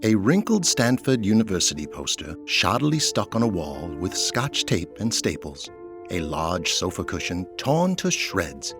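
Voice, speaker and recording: A man narrating a scripted audio drama, reading out scene descriptions. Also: on the low side.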